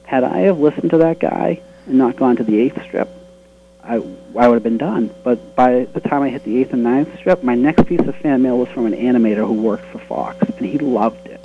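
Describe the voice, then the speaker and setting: A man's voice: mid-range voice, moderate pace. Boston man, guest comic creator, earnest and rambling, thin phone-line sound, music under it. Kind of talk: casual interview talk.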